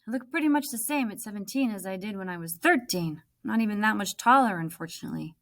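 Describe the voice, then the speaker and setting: Expressive woman reading a story aloud. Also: mid-range voice, fast talker. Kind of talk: children's book read-aloud, scripted first-person narration.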